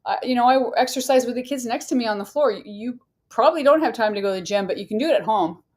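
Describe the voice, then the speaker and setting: A woman's voice: a little high, fast, a little mellow. A woman in her sixties from Arizona, a fitness trainer guest answering interview questions in an upbeat way and laughing easily. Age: 60s.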